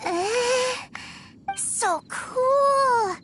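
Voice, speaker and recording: A woman's voice: very high voice, expressive, drawn-out words, background noise, exaggerated voice. A woman voicing an adoring, innocent little brother in a video game, wide-eyed and gushing. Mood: awestruck. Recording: game music behind the voice.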